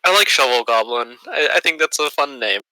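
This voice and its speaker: A man's voice: medium pitch, expressive, a little fast, pushed and bright, dry recording, low-quality recording. Playful young male co-commentator. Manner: playful, teasing, defensive joking. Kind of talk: joking casual commentary banter.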